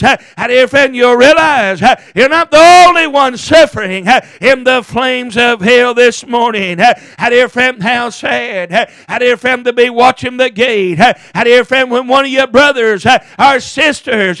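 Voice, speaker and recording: A man's voice: very high-pitched, a bit bright, clear, resonant tone, dry recording. A man preaching a fervent hellfire sermon in short, chanted, emphatic bursts, each punctuated by a gasped "uh". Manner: emphatic, impassioned.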